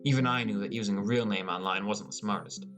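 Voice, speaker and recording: A man's voice: fairly low pitch, steady tone, fast talker. Man narrating fantasy fiction over prominent music. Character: teenage boy gamer, gloomy and introspective. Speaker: audiobook narrator. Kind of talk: first-person scripted fantasy narration. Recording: music under the voice.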